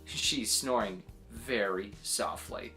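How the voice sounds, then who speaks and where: A woman reading a children's story aloud in expressive, acted-out narration. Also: extremely low pitch, slow, soft background music.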